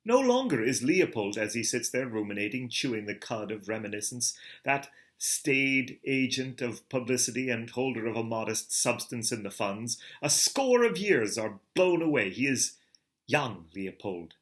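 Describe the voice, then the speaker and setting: A man, a theater director, reading a classic novel aloud with an expressive, theatrical delivery. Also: medium pitch, moderate pace. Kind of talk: dramatic literary reading.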